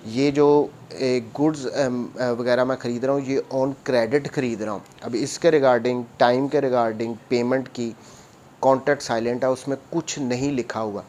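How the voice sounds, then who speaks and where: A man with a Pakistani accent giving an explanatory law lesson as a lecturer, mixing in English legal terms and reading clauses deliberately. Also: medium pitch, darkish tone. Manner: switches between Urdu and English legal terms. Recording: noticeable background noise.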